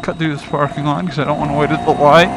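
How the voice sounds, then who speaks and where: A man's voice: a little high, steady tone, fast talker. Laid-back rambling man riding in traffic. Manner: chatty, laid-back, lots of "uh" and "you know". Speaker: motovlogger. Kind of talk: rambling casual vlog while riding. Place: outdoors on a scooter in city traffic. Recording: wind and traffic noise. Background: road and engine noise.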